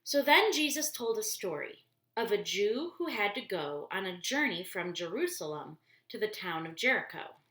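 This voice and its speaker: A woman reading a children's story aloud expressively, deliberately, explaining things in simple words. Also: mid-range voice, sing-song, sharp tone. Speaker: storybook reader for church children. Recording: roomy, echoey indoor sound.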